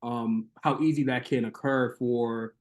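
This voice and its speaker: Man, academic presenter, halting with lots of fillers, video call audio. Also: mid-range voice, steady tone. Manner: hesitant, many fillers and restarts.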